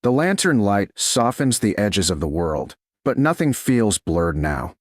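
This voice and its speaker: A man reading a romance story in a slow, measured narration style. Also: fairly low pitch, very animated, dry recording, clean studio sound.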